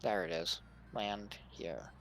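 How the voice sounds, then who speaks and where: A man's voice: on the low side, fairly even intonation, slow pace, fairly bright tone, a little hiss or hum. A man talking casually to fellow players over gaming voice chat.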